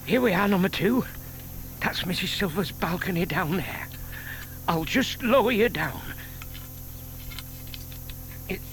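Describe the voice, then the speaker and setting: An actor playing a gentle, fond older man in an audio play, murmuring to himself and to animals. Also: high-pitched, slight background noise, heavily acted. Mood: careful, coaxing. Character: gentle, fond old neighbour man scheming kindly with tortoises. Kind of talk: audio theatre dramatisation.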